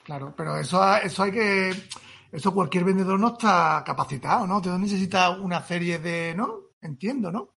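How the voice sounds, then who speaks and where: A man's voice: sits high, normal speed, gravelly, fairly bright tone, slightly rough. A man hosting an interview, eager and prompting his guest, often ending lines with question tags. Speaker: podcast host.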